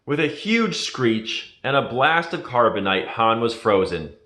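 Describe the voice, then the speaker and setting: A man reading a children's story aloud as a scripted narration, in a dramatic, deliberate manner. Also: medium pitch, big pitch swings, sharp tone. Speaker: storybook reader. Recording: echoey room.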